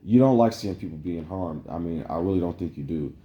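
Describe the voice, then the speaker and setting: A man's voice: sits low, animated, brisk, highs cut off. A man delivering a meandering, preachy spiritual monologue to camera, full of "like" fillers. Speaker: vlogger. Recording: roomy echo.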